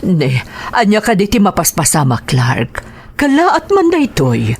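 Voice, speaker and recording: An anxious middle-aged Filipino mother played by a woman in an audio drama. Her delivery swings widely in pitch as she pleads with feeling. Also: huge pitch swings, measured pace, breathy edge, full character voice. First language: Ilocano. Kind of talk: emotional audio drama acting.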